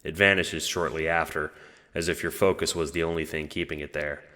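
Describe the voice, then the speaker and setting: A man's voice: rumbling low, fairly even intonation, fairly quick, sharp tone, in a large hall. A man reading scripted second-person narration for an audio drama.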